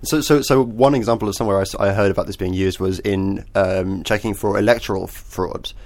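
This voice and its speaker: A man hosting a casual discussion, piecing his sentences together haltingly. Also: fairly low pitch, steady tone, a little mellow.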